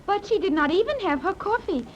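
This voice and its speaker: Very high-voiced prim girl. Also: lively intonation, a little fast. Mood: prim, complaining. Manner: formal, uncontracted phrasing. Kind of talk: 1930s radio serial acting. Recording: dull, noisy vintage radio recording.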